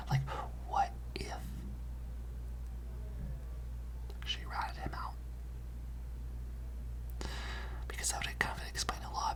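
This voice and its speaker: An ASMR performer playing a gossipy, dramatic high school student, rambling in a hushed, conspiratorial way. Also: lightly acted. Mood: suspicious, hushed. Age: teenage character. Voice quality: breathy whisper with creaky edges. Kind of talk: ASMR roleplay.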